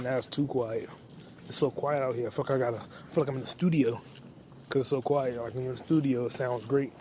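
A man's voice: mid-range voice, darkish tone, a little hiss or hum. A young man hosting a podcast on his own, rambling, joking and cursing freely while he walks outside at night. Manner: profane, loose, joking. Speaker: podcast host. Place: outdoors on a quiet street.

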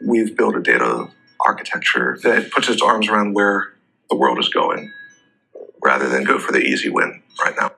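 A man presenting in an explanatory business talk, phrasing haltingly with frequent hesitant pauses. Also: very deep voice, big pitch swings, vocal fry, pushed and bright, soft background music, low-quality recording. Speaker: presenter for a data technology company.

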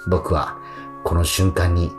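A man's voice: low voice, flat delivery, slow. An actor playing a dreamy, slightly awkward young man who tells of his romance in a radio drama. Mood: tender. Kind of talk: radio drama monologue and dialogue. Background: plucked guitar music.

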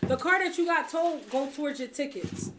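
A woman's voice: high voice, animated, moderate pace. A woman in her twenties co-hosting a podcast, telling stories bluntly and swearing freely. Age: 20s. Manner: blunt, profane storyteller. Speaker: podcast co-host. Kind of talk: casual podcast chat. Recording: echoey room with chatter.

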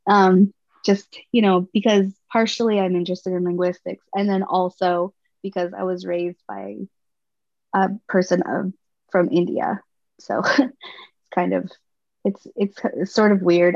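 A woman in her thirties from Canada, speaking as a podcast guest in a thoughtful, halting way with frequent restarts. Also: on the low side, steady tone, vocal fry, darkish tone, dry recording. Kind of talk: personal conversation. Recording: slightly muffled dry sound.

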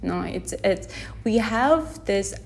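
A woman from the Philippines whose first language is Tagalog, talking unscripted into a phone held in one hand on her podcast, gently and with many restarts as she searches for words. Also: mid-range voice, animated, takes it slowly, creaky. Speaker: podcast host. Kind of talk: unscripted reflective podcast monologue. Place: unedited setting with background sound.

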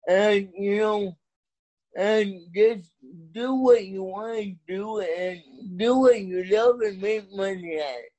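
A man's voice: high-pitched, takes it slowly, a little mellow, rough recording. A man speaking as a podcast guest in casual chat, hesitant and full of fillers.

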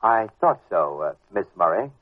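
A man's voice: low-pitched, sing-song, normal speed, dry recording. An American actor playing a wry, easygoing private detective in an old-time radio drama, casual and a little hesitant as he talks and narrates. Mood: amused, curious. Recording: muffled vintage radio recording with music.

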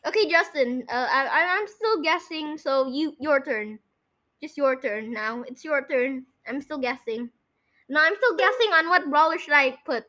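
Young girly podcast host, really high bright voice, chatty and excitable, repeats stuff a lot. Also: moderate pace. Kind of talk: casual game chat, guessing voice lines.

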